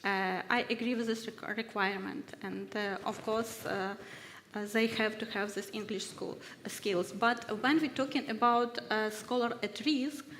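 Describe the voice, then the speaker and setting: A woman's voice: mid-range voice, fairly even intonation, a bit bright, slight background noise. Hesitant woman in an echoey hall. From Ukraine. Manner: hesitant, halting, many fillers. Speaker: research fellow speaking on a panel. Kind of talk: workshop panel talk. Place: university ceremonial hall. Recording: echoey hall with long reverb.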